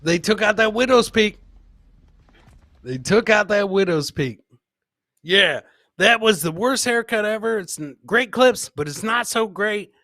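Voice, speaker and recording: A man's voice: high-pitched, expressive, a little slow, pushed and bright, no echo, clean studio sound. A man hosting a live comedy show, riffing casually on clips in a rambling, profane, jokey way.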